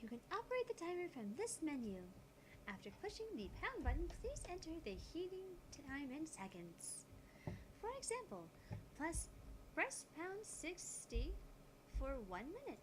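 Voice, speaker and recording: Woman doing a high, cheerful menu guide girl. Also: sing-song, normal speed. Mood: bright, instructive. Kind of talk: visual novel read-aloud. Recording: clearly noisy background.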